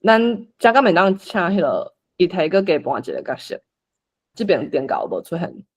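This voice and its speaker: Animated Taiwanese host organizing a group. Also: mid-range voice, moderate pace, breathy edge. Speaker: host assigning roles for a script read-along. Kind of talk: casual online meeting chat.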